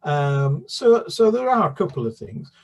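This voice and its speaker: A British children's author talking about his books with many restarts, then reading a chapter aloud. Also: on the high side. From United Kingdom. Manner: halting, many restarts and fillers, then steady narration. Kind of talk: book talk and read-aloud.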